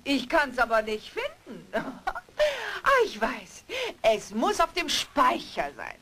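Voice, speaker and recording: A woman's voice: high voice, wildly swooping pitch, takes it slowly, a bit bright, slight background noise, exaggerated voice. A woman playing an eccentric, volatile older landlady and faded singer in a theatrical horror audio drama. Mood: agitated, shrill.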